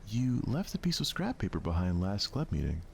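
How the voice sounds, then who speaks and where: A man's voice: fairly low pitch, sing-song, fairly quick, gravelly, slight background noise, pitched above the performer's own voice. A man voicing a sweet, affectionate, cheerful girl with a playful, coaxing manner. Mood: playful teasing.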